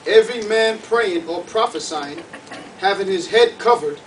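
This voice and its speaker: High-pitched man reading scripture, noisy distant recording. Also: a little slow. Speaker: scripture reader assisting the preacher. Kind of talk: reading Bible verses aloud. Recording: distant mic, clear background noise.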